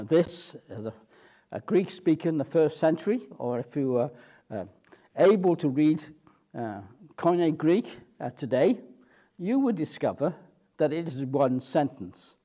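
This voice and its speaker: A man preaching an evening sermon, teaching through a Bible passage in an earnest, explanatory way with frequent fillers and restarts, and slowing down when he reads scripture. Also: a little high, dark tone.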